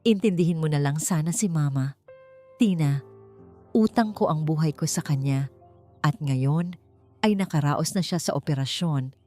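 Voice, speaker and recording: A woman's voice: sits low, normal speed, long pauses, dark tone, soft background music, lightly acted. A woman with a Filipino accent voicing a downcast husband who pleads on his mother's behalf in a story podcast. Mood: pleading. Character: pleading, guilt-ridden husband blindly loyal to his mother.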